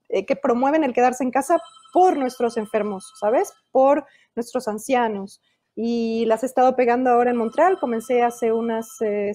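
A woman's voice: fairly high pitch, no room sound, studio quality. A woman in her thirties from Mexico with a Mexican Spanish accent, an illustrator talking animatedly in a video call interview over an earphone mic. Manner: animated, gestures while talking. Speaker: illustrator and children's author. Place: indoor room at home. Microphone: earphone headset mic on a video call.

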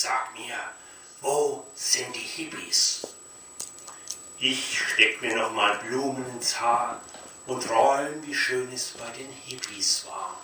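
A man's voice: mid-range voice, bright, forceful tone. An older man performing comic poetry live on a cinema stage. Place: dark cinema stage at a lectern. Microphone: distant camera in the audience. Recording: very echoey hall from far off, faint noise.

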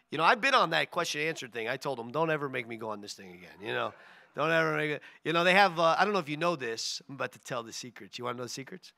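A pastor in his thirties with a tired, raspy voice, joking and telling stories from a church pulpit into a stand mic. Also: a little high, no room sound, clean studio sound. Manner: joking, storytelling, animated. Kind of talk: casual sermon announcements. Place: church stage.

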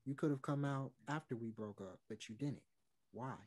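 A man's voice: mid-range voice, normal speed, darkish tone, close to an everyday voice. A man playing an apologetic, pleading famous singer in an online play reading, earnest and hesitant, on a video call from home. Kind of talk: online one-act play table read.

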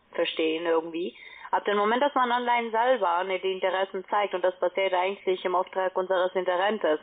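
A woman speaks over a thin, band-limited phone line at a medium pitch. She works as a call centre seller, formal and insistent, with halting, slightly awkward phrasing. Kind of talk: telephone sales pitch. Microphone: telephone call. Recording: narrow, tinny phone line.

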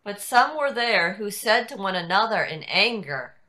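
A woman narrating a scripted scripture reading in a deliberate way. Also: medium pitch, very animated, bright, forceful tone. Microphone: video call.

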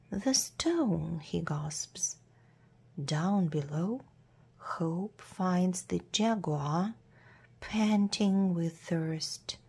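A woman narrates a children's storybook at an extremely slow, deliberate pace. Her voice is fairly low and mellow, with a husky grain to it. Phrases often trail off into a hush. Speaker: storybook reader.

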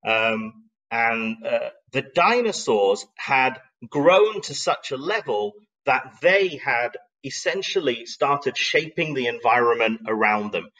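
A man's voice: medium pitch, lively intonation, slow pace, fairly bright tone, recorded from a distance, muffled recording. A middle-aged man giving a live online slide talk in an explanatory, conversational way, thoughtful and emphatic, often posing questions. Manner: reflective, emphatic phrasing with rhetorical questions. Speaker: speaker presenting an online talk.

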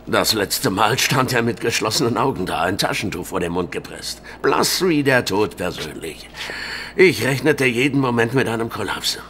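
Radio drama pathologist: morbidly witty, conversational, animated, slightly deep. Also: male voice, moderate pace, a little hiss or hum. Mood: mocking, then courteous. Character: chatty male mortuary pathologist with morbid wit. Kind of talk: German detective radio drama acting.

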